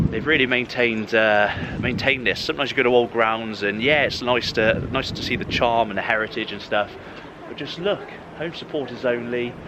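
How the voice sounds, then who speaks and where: Chatty English man walking outdoors. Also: medium pitch. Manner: chatty, rambling, enthusiastic. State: cold, stiff-mouthed. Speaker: football vlogger. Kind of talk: casual walking vlog. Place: outdoors by a river in a city. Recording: faint outdoor street noise. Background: faint traffic and city ambience.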